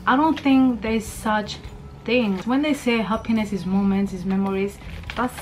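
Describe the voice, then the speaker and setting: A woman's voice: medium pitch, steady tone, a little slow, faint music behind, low-quality recording. Chatty thirty-year-old woman vlogging from her bedroom. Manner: rambling, chatty, many fillers. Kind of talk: casual chat to camera. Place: bedroom, indoors. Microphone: camera at arm's length.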